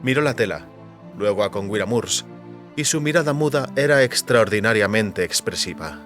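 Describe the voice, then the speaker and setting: A man reading scripted literary narration for an audiobook with measured, deliberate phrasing. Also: on the low side, animated, fairly bright tone. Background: faint music.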